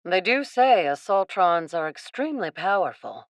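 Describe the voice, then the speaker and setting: A woman's voice: on the low side, big pitch swings, normal speed, no room sound. A woman playing a falsely kind, scheming captain in a radio play, with a sugary, insincere manner. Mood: sly, coaxing.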